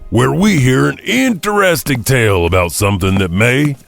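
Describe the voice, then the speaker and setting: A man with a gravelly voice narrating as a spooky storytelling host, drawn-out and theatrical. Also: medium pitch, animated, dry recording, faint music behind. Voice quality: gravelly, creaky.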